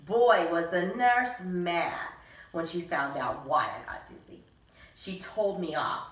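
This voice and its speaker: A woman co-hosting a children's bedtime story reading, reading aloud in a lively way and jumping high for the characters' lines. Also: slow pace. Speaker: co-host and storyteller. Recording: echoey room, mic at a distance.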